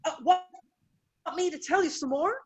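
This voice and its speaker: An actress playing a folksy, flustered farm owner in a radio play, talking haltingly and eagerly in rustic dialect. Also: female voice, extremely high pitch, a little mellow.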